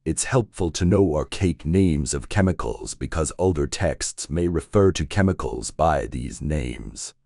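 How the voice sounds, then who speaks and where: A man reading a scripted passage aloud as narration, in a measured, clearly enunciated way. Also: a bass voice, lively intonation, mellow, no room sound, studio quality. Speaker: narrator of English test practice passages.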